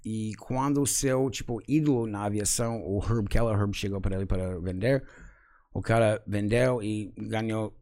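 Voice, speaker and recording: A man's voice: on the low side, normal speed. A man in his forties, a native English speaker, talking casually and laughing often as an interview guest, with frequent tag questions. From United States. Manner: relaxed, frequent "sabe?" tags, laughs often. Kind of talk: casual conversation.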